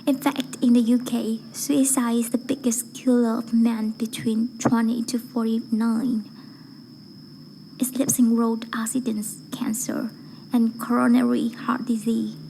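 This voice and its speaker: Higher-pitched woman reciting a speech evenly. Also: level tone, a little slow, creaky, dark tone, a little hiss or hum, rough recording. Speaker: voice acting learner reading a speech. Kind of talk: rehearsed public speech recitation.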